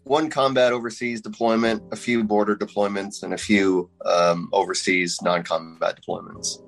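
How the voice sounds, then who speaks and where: Brief: thirties Texan-accented man, lowish voice, plain and dry, faint music. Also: level tone, normal speed, gravelly, poor audio. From Philippines. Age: 30s. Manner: matter-of-fact, dry humor. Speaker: police officer guest. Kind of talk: casual interview.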